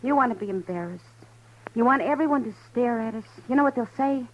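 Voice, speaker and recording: A woman's voice: fairly high pitch, fast talker, lightly acted. A woman playing a wry, teasing saloon hostess in a 1950s radio drama. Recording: dull old radio recording.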